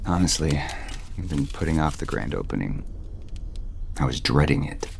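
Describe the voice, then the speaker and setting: A man playing a tentative, weary romantic lead in an audio soap opera, speaking in a halting, intimate way. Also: a bass voice, expressive, vocal fry, a little hiss or hum, heavily acted. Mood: wistful. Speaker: actor.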